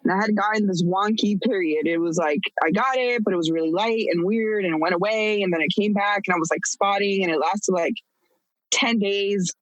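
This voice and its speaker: Woman, 30s, podcast guest, candid storyteller, blunt, laughs a lot, mid pitch. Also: a little fast, dry recording, rough recording. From United States. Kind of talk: casual storytelling.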